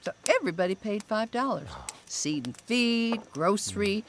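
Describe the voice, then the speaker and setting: A woman's voice: mid-range voice, lively intonation, slight background noise, natural voice. An actress playing a chatty small-town older woman who loves to tell a story, stretching out her words for effect. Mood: gossiping. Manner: chatty storyteller, drawn-out emphasis. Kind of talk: radio drama acting.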